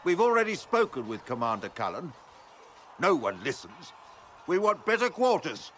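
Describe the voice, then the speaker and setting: An actor playing an indignant mage in a video game, querulous and demanding. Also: male voice, fairly high pitch, big pitch swings, moderate pace, fairly bright tone, a little hiss or hum. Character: indignant, complaining male mage.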